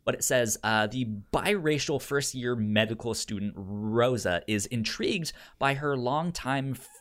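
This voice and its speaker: A man with a pronounced stutter, speaking haltingly and casually as a podcast co-host pitching shows. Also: a bit bright. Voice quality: pronounced stutter with repeated sounds and syllables.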